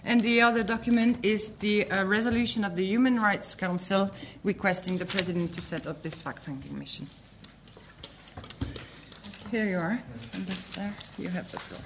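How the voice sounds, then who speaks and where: A woman's voice: mid-range voice, moderate pace. Woman press officer, formal and polite, introduces the speaker; audible background noise and clicks. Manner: polite, formal, apologetic, with fillers. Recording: noisy room with clicks.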